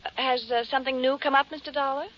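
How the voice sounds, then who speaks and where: A woman's voice: sits high, steady tone, fast talker, natural voice. A woman plays a widow in a 1950s radio drama telephone scene. She speaks hesitantly and evasively, always stalling. The vintage recording sounds muffled. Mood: nervous, reluctant.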